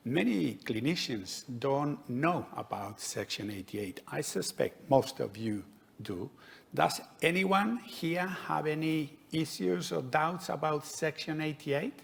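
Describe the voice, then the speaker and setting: A man with a Spanish accent giving a measured, explanatory conference talk as a doctor. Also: mid-range voice, vocal fry. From Spain. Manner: measured, explanatory, with light humour. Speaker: conference speaker, DVLA doctor. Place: conference hall.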